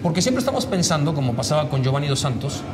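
A man's voice: fairly high pitch, quick pace. A man in his forties from Mexico, talking casually at a table with blunt, profane slang, on a lapel mic. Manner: blunt and profane, heavy slang, gestures a lot. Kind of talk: casual chat. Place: sitting at a table in a small room indoors. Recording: roomy with steady background noise.

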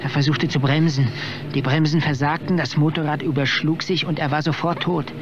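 A man playing a vengeful chauffeur in a radio drama, outwardly deferential but sly and quietly menacing. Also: fairly high pitch, fairly even intonation, a little fast, background noise, close to an everyday voice. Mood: sly, storytelling. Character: softly menacing vengeful male chauffeur, aspiring singer. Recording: muffled radio drama, loud music behind.

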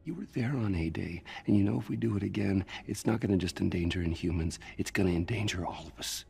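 A man playing an earnest, troubled scientist hero in a video game, gravelly, halting and intense. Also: deep voice, a little mellow, full character voice. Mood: urgent confrontation. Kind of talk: video game cutscene acting.

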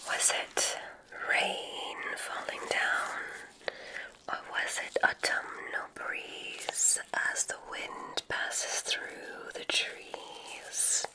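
Woman doing asmr witch exam roleplay, all breathy whisper, really slow and soothing. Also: low-quality recording. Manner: soft, slow, gently reassuring. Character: kindly witch examiner at a magic school. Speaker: ASMR roleplay performer playing a witch medical examiner.

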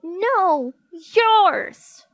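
A moody little girl, played by a woman storyteller, loud and high. She is quarrelsome and whiny, pushing every word out in a storybook squabble. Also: lively intonation, drawn-out words, no room sound, full character voice. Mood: angry. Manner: shouting and wailing. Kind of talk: children's audiobook character voice.

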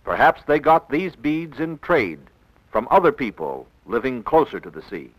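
An American man narrating a 1960s educational film in a measured, didactic, clearly enunciated way, on an old film soundtrack. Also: mid-range voice, very animated, no room sound, low-quality recording.

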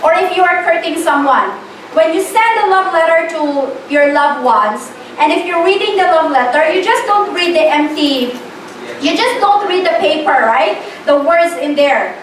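Very high-pitched woman preaching, echoey. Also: animated. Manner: emphatic, deliberate repetition in short phrases. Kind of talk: informal church sermon with questions to the congregation. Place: church hall with stage, indoors. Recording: roomy echo, distant mic, faint noise.

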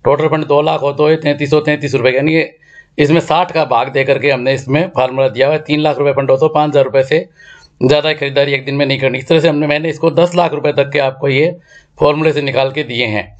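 Slightly hoarse man teaching in an echoey room. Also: on the high side, steady tone, quick pace, muffled recording. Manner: explains step by step, repeats key numbers. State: slightly hoarse from a throat infection. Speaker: stock market educator. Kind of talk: blackboard lesson and screen walkthrough. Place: indoor room with a blackboard.

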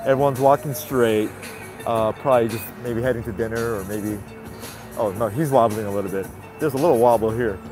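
A man's voice: medium pitch, brisk, over music, poor audio. A man with a stuffy nose chatting casually to viewers on a live-stream while walking a city sidewalk at night, filmed on a handheld phone. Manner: chatty, repeats greetings, talks to viewers. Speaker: vlogger. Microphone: handheld phone camera.

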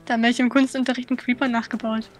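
A woman's voice: fairly high pitch, little pitch movement, very fast, faint music behind. A young woman chatting playfully and teasingly as co-host of a gaming video. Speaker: Let's Play co-host. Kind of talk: casual joking gameplay chat.

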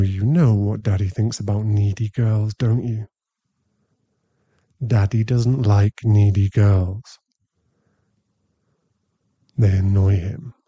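A man playing a dominant, teasing, possessive werewolf boyfriend in an ASMR roleplay, with a husky, breathy, intimate delivery. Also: on the low side, dark tone, no room sound, highs cut off. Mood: stern, teasing. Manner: slow, deliberate, intimate.

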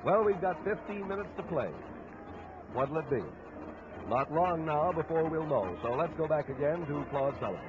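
A man's voice: fairly high pitch, animated, normal speed, phone-quality sound, background noise. A man speaking as a sports broadcaster on an old 1950s radio broadcast. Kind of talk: radio commentary handoff.

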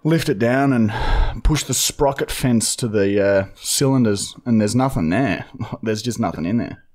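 A man's voice: mid-range voice, lively intonation, measured pace, a little mellow. An Australian man hosting a podcast, talking casually and matter-of-factly in a rambling way. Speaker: podcast host. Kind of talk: casual chat and prank calling. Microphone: podcast boom mic and headset.

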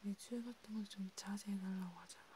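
A woman whispering softly in a casual, hesitant, gently caring chat for an ASMR roleplay. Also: mid-range voice, fairly even intonation, brisk, slight background noise. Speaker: ASMR video creator.

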